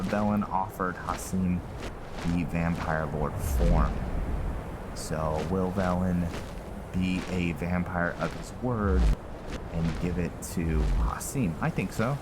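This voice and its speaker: Deep voiced guy doing slow casual gaming commentary, thinking out loud, loud rumbly background noise. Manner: halting, thinking aloud. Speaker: gaming video host narrating own playthrough. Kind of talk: casual game commentary with roleplay recap. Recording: heavy background noise, rumbling game ambience.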